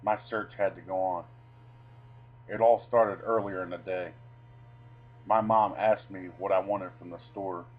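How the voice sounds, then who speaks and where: Low-voiced man, theatrical and deliberate. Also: steady tone, husky. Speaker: video creator reading own short stories. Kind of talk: dramatic reading of a comic short story. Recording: dull lo-fi sound with music behind.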